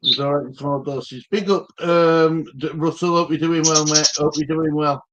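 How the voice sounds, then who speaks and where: A man's voice: fairly high pitch, fairly even intonation, moderate pace, slight background noise, low-quality recording. A man co-hosting a casual football chat, talking in an easygoing, agreeable way. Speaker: co-host.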